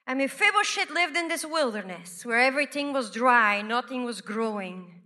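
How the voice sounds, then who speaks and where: A woman from Belgium preaching a sermon, repeating phrases and swinging from loud declarations to hushed whispers. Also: fairly high pitch, animated. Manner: slow, deliberate delivery with repeated phrases.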